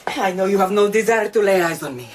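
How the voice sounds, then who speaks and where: An actress playing an imperious, tormented widowed Contessa in a radio drama, theatrical and intense. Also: female voice, mid-range voice, expressive, fast talker, slight background noise, lightly acted. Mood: grave, intense.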